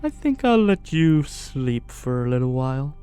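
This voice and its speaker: A man playing a menacing evil sorcerer in a fantasy ASMR roleplay, falsely gentle and condescending, with soft-spoken threats. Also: mid-range voice, big pitch swings, clear, resonant tone, faint music behind, natural voice. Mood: calm menace. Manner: slow, silky, condescending, softly threatening.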